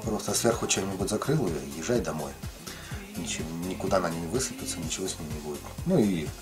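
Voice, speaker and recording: A man's voice: low voice, very animated, quick pace, over music. A middle-aged man casually explaining his ice fishing tackle, rambling with many fillers and restarts. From lived in Ukraine, now in the Russian far north.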